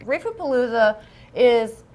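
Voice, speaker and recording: A woman's voice: a little high, lively intonation, a little slow, darkish tone. A woman co-hosting a casual livestream, chatty and upbeat, with plenty of ums and a self-deprecating streak. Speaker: livestream co-host.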